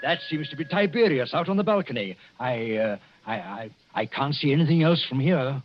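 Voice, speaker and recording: An actor playing a sardonic, skeptical gentleman doctor, formal and pedantic, in a 1940s radio drama. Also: mid-range voice, lively intonation, moderate pace, close to an everyday voice. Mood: uneasy, dry. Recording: dull, faintly noisy 1940s radio recording.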